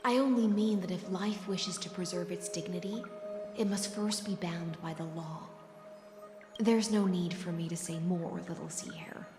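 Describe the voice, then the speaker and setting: A woman playing a composed, ominous noblewoman from the sea in a game cutscene, speaking in a measured, formal, cryptic way. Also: on the low side, natural voice. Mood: brooding, calm. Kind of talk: dramatic game cutscene acting. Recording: echoey reverberant hall sound. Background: music.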